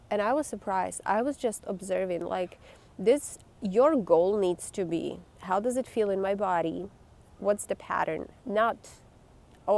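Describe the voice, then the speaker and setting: Blunt woman with a gravelly voice. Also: mid-range voice, darkish tone. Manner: blunt, emphatic and swearing. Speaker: vlogger. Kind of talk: candid talk to camera.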